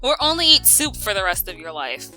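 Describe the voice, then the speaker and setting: A woman's voice: mid-range voice, big pitch swings, a little fast, pushed and bright. A young woman hosting a casual fan Q and A, playful and exclamatory with dramatic reactions. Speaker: video host reading and answering fan questions. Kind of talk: casual Q and A banter. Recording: music under the voice. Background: background music.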